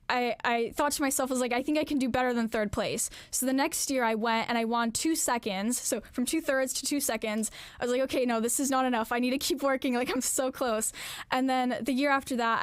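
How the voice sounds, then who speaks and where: A teenage girl speaks earnestly in a news interview, her fairly high voice smooth and clear. She pauses with a lot of "um"s as she reflects. The sound is dry and close, with no room in it. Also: dry recording. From Alaska, US. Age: late teens. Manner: earnest, many "um" fillers, reflective. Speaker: interviewee, graduating student.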